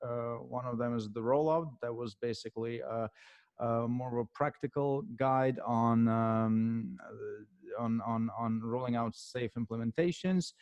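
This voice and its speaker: A man in his forties from Ukraine with a Ukrainian accent, answering interview questions in a halting way with many fillers and restarts. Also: medium pitch, a little mellow, smooth, no room sound, dull audio. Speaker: guest author and consultant.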